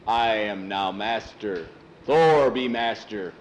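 Man doing a slow, dim, hulking henchman. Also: lowish voice, slight background noise. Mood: slowly defiant. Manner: slow, simple broken speech. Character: big, strong, dim-witted obedient male henchman.

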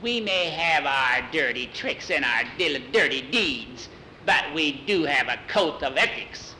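A man's voice: a little high, expressive, measured pace, pushed and bright, slight background noise, full character voice, poor audio. A man voicing a crafty old witch from a storybook, haughty and gleeful, with exaggerated delivery. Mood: haughty scolding. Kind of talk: storybook character voices.

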